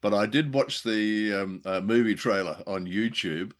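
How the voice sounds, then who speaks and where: A man with a fairly low voice co-hosts a review show. He talks in a casual, conversational style, feeling his way through thoughts with pauses and hesitant phrasing. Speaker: co-host of an old-time radio review show.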